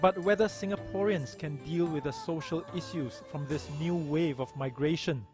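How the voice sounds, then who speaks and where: A man reading a documentary news report in a measured, even voice. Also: on the high side. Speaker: news narrator. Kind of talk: scripted documentary narration. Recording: voice-over with music behind.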